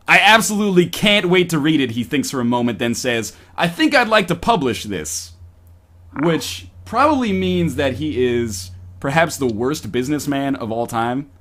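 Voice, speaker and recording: A man's voice: medium pitch, fairly bright tone. A man reading a story aloud on a stream in a playful, mock-dramatic way with joking asides.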